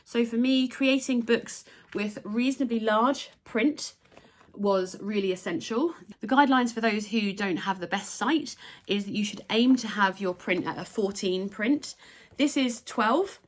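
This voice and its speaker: A woman's voice: a little high, a little slow. Chatty woman vlogger, slightly nasal. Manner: chatty, enthusiastic, showing items to camera. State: nasal from a recent cold. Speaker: crochet designer and channel host. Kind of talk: casual vlog to camera.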